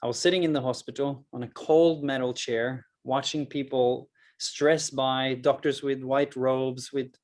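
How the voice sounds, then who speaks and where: A man in his thirties from rural Norway, speaking English with a Norwegian accent as he gives a personal, earnest presentation with deliberate, emphatic pauses. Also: medium pitch, steady tone, a little mellow. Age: 30s. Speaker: public speaker, mental health project founder.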